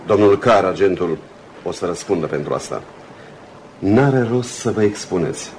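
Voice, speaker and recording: An actor playing a concerned, courteous ship's captain in a Romanian radio play, measured and formal. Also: male voice, low-pitched, lively intonation, gravelly, darkish tone, a little hiss or hum, low-quality recording. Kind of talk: Romanian radio theatre acting.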